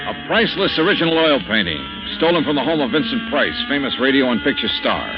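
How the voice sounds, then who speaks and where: An actor playing a brisk, wry, hard-boiled American investigator in a 1950s radio drama. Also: male voice, mid-range voice, sing-song, natural voice. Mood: wry, narrating. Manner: dry, punchy, wry. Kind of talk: 1950s radio drama acting, first-person narration. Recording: dull vintage 1950s radio, music behind.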